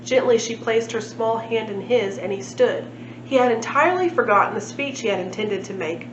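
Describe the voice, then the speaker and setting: A woman narrates a children's book as a library read-aloud. Her voice sits fairly high. The recording is echoey and muffled, with clear noise behind her. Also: animated, normal speed. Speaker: library storytime reader.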